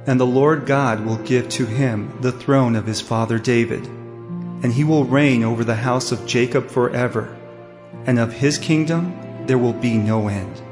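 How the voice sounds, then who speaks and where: A man acts a solemn angel messenger in a Bible audio drama. He proclaims with measured authority at a medium pitch, over clearly heard music. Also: lightly acted. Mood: solemn, reassuring.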